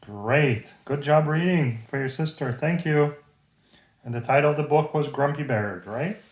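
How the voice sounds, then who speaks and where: A woman's voice: deep voice, measured pace, darkish tone. A woman chatting casually and encouragingly, as an adult prompting a child who is reading. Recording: roomy echoing home recording.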